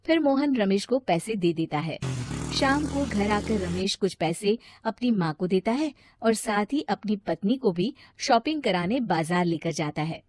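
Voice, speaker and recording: A woman's voice: mid-range voice, expressive, unhurried, darkish tone. A woman reading scripted story narration as the storyteller.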